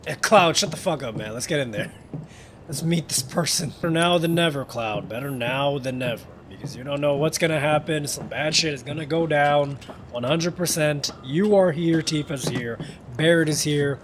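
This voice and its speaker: A man's voice: on the high side, normal speed, slight background noise. A man giving jokey, profane let's play commentary, talking back to the game characters. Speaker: gamer. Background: game music.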